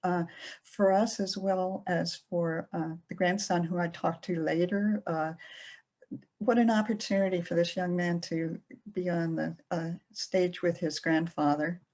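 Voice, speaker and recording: A middle-aged woman librarian giving a presentation, speaking slowly with many pauses and fillers. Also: on the low side, steady tone, darkish tone. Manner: slow, hesitant with many fillers. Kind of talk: presentation talk.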